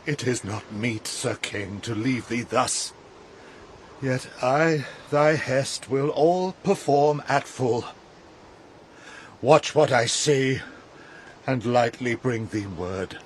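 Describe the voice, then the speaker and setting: An actor as a slow-spoken, loyal knight. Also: male voice, medium pitch, slight background noise, natural voice. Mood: reluctant, obedient. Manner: solemn, reverent, formal. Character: loyal, devoted knight serving his king. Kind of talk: classical verse radio drama acting.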